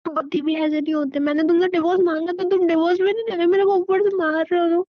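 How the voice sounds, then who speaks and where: A woman's voice: very high-pitched, fairly even intonation, quick pace. A woman playing a resentful, wounded wife in an audio drama, reproachful and stubborn with a plaintive delivery. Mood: indignant. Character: wounded, resentful young wife, defiantly rebuffing her husband. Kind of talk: Hindi audio drama dialogue, emotional acting. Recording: muffled phone-like sound with room echo.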